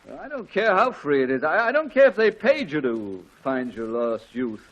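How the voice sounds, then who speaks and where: A man's voice: a little high, very animated, fairly bright tone, muffled recording. A middle-aged man acting a sardonic, exasperated father with an American accent and dry, grumbling delivery in a 1940s radio sitcom. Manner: dry, grumbling, deliberate sarcasm.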